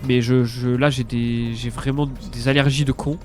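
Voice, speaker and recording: A man's voice: medium pitch, level tone, normal speed, a little mellow, soft background music. A young man with a congested nose, chatting casually and hesitantly as he streams a game. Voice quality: congested, blocked-nose sound. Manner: hesitant, repeats words, mild swearing. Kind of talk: casual live stream chat over gameplay.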